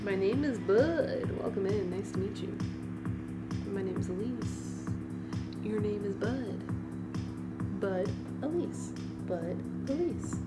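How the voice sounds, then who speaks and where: A woman's voice: medium pitch, expressive, slow pace, long pauses, over loud music. A woman in her late thirties chatting casually and informally as a livestream host, in a rambling, exclamatory way. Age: late 30s. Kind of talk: casual livestream chat responding to viewers.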